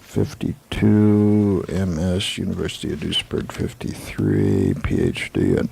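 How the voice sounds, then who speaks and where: A man playing a proud, weary literature professor in a 1980s audio drama, self-assured but a little rambling, with a gravelly, husky voice. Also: low-pitched, sing-song, measured pace, warm tone, heavily acted. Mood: polite, searching. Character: stubborn, weary male Shakespeare professor, proud of his expertise.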